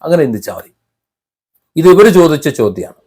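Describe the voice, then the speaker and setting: A man with a fairly high voice delivers opinion commentary. He speaks haltingly, leaning on his points with emphasis and a critical edge. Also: very animated, dark tone. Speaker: commentary vlogger.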